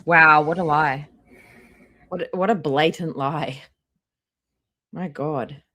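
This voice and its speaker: Low-pitched blunt woman streamer. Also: takes it slowly, sharp tone. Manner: blunt, skeptical, swears. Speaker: live stream host. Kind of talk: casual live reaction commentary.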